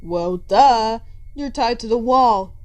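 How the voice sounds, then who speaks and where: A woman's voice: on the high side, slow pace, faint music behind. A woman playing a cheerfully menacing male robot villain who taunts with false friendliness in a story reading. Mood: gleeful. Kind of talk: amateur dramatic fan fiction reading.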